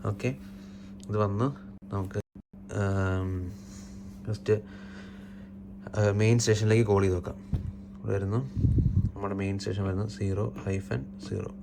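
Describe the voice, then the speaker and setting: A man's voice: on the low side, level tone, moderate pace, gravelly, long pauses, noisy background. A man with a Malayali accent giving an unscripted, matter-of-fact technical walkthrough, one step at a time. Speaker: tutorial presenter, installer.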